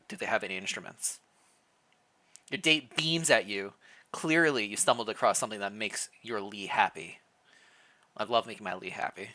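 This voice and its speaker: Live story reader, playful, bright voice, lively pitch swings, casual asides. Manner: playful, jokey, giggly. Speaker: streamer reading fan fiction aloud.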